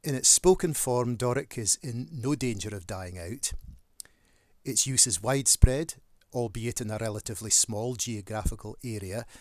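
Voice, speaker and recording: A middle-aged man with a northeast Scottish accent, a church minister giving a measured, earnest introduction to his channel. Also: mid-range voice, no room sound. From northeast Scotland.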